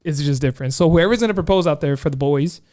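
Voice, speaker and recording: A man with a fairly high, smooth and clear voice talks loosely in casual podcast chat. He rambles through stories, stacking up "like" and restarting his sentences, with a joking edge. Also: fast talker, darkish tone. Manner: rambling, many "like" fillers, joking. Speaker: podcast co-host.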